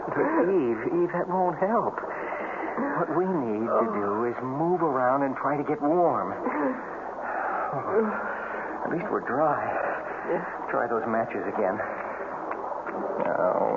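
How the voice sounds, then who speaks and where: A man playing a reassuring, wry rescuer with halting delivery in an old-time radio drama. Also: medium pitch, big pitch swings. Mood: urgent. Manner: halting, soothing. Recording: noisy, tinny old radio recording.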